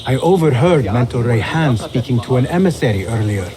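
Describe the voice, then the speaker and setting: An actor playing an eager, earnest young assassin apprentice who speaks respectfully. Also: male voice, medium pitch, animated, a little fast, crowd noise, close to an everyday voice.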